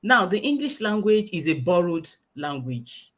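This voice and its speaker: A woman's voice: fairly low pitch, big pitch swings, unhurried, fairly bright tone. A woman, a guest English teacher lecturing earnestly in a webinar over a video call, repeating her points for emphasis.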